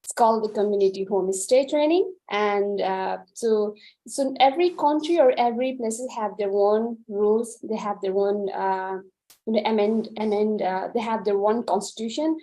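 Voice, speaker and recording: A woman's voice: mid-range voice, normal speed, dark tone, rough recording. A woman from Nepal answering a question as a speaker in an online webinar, earnest, often repeating and restarting words. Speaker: panel speaker.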